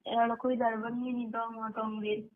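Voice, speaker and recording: A woman's voice: on the high side, little pitch movement, moderate pace. A woman with a Marwari accent from western Rajasthan chatting casually on a private phone call. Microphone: telephone line. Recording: tinny phone call recording.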